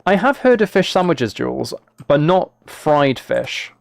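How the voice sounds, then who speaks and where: A man's voice: fairly high pitch, very animated, unhurried, creaky. A British man chatting casually on a game livestream, talking to viewers in a mock-exasperated, self-deprecating way. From United Kingdom. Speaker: live streamer.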